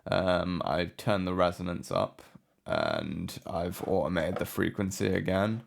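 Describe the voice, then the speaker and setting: A man giving a casual, unscripted software walkthrough as a tutorial presenter, low-key and hesitant in manner. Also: lively intonation, unhurried, vocal fry. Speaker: music production tutorial presenter.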